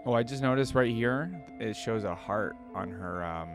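A man's voice: fairly low pitch, moderate pace. A young adult man giving casual reaction commentary as the host of a video. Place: indoor desk setup. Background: game theme music.

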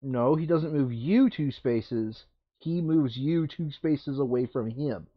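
A man's voice: medium pitch, slow pace, no room sound. A man in a casual board game session, reading out moves and explaining rules in an instructive, insistent way. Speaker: tabletop game player reading out enemy moves. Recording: dull, lo-fi microphone sound.

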